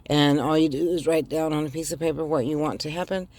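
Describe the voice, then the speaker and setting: Raspy-voiced woman chatting from her car. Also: sits low, fairly even intonation, fast talker, a little mellow. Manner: chatty, addresses viewers directly, hesitant ums. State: raspy voice after the flu. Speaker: tarot reader. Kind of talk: casual tarot reading to camera. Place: inside a car. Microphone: phone held in one hand.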